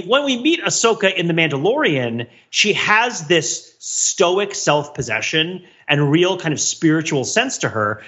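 Man, casual podcast discussion, emphatic, very lively intonation, bright tone, repeats himself for effect. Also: on the high side, muffled recording. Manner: emphatic, repeats phrases for effect, acts out imagined quotes. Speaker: podcast host. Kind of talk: casual pop-culture discussion.

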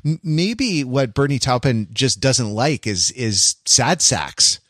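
Guy on a podcast panel, halting and rambly, working out ideas, close dry sound. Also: mid-range voice, expressive, fairly bright tone, no echo. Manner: halting, rambling, thinking aloud. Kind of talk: casual analytical discussion.

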